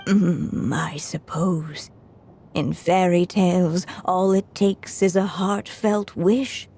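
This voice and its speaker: An actress voicing Anya, a frail, aging writer in a game cutscene, speaking softly and slowly with a breathy edge. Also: female voice, on the low side, fairly even intonation, exaggerated voice. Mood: wistful. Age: older. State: weak, coughing. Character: old woman writer Anya, frail, wistful, resolved. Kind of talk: story cutscene voice acting. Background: soft music.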